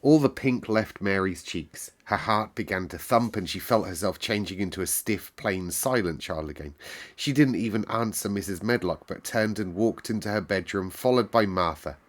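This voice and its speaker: A man narrates a classic children's novel aloud, calm and measured. His voice sits on the low side, steady through each sentence. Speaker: storyteller.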